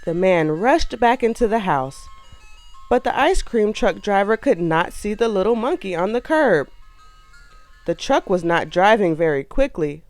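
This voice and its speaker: A woman narrating a children's storybook aloud in an expressive, animated way. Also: medium pitch, measured pace, soft background music.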